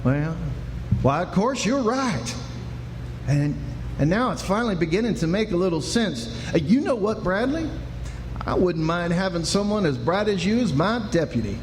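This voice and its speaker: A man's voice: high voice, very animated, normal speed. An American man playing a kindly, patient Old West sheriff in a radio drama, with a folksy, hesitant drawl. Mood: musing. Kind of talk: radio drama acting before an audience. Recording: echoey live hall, faint noise.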